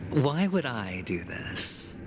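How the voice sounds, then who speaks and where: An actor playing a suave, shrewd, self-possessed man in a video game, with a wry and deliberate delivery. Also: medium pitch, sing-song, noisy background. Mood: wry, curious. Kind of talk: English video game voice acting. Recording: music under the dialogue. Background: game music.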